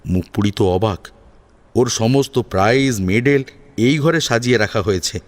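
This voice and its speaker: A man's voice: fairly low pitch, normal speed. A man narrating a scripted family drama as a storyteller, in a dramatic audio-story style.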